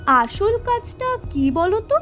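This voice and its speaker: Very high-pitched, spirited young girl. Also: sing-song, slow, fairly bright tone, soft background music, exaggerated voice. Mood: curious, indignant. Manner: eager, outspoken. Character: spirited, bold young girl, loyal and cheeky. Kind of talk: Bengali audio story acting.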